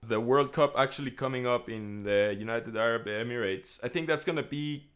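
Guy hosting a sports podcast, mid pitch, kinda halting, repeats words while he thinks. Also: fairly bright tone. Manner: thoughtful, repeats words while forming questions. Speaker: podcast host. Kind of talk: interview questions.

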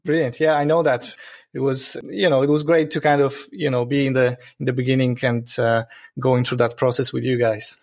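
A man's voice: mid-range voice, little pitch movement, moderate pace, no echo. A man from Poland with a Polish accent, an entrepreneur answering interview questions in a rambling way with many fillers. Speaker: podcast guest, entrepreneur.

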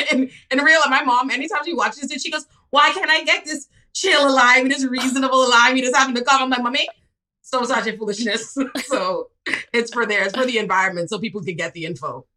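A young woman from the Bahamas, a public defender, chatting casually on an interview show and acting out quotes with lively laughter. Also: sits high, a little fast. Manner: animated storyteller, laughs often, acts out quotes. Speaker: public defender and political commentator.

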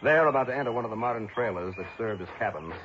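A man acting as a brisk, hard-boiled investigator who narrates his case in an emphatic 1960s radio drama style. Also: lowish voice, expressive, highs cut off, natural voice. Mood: reflective narrating. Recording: band-limited old radio recording, music behind.

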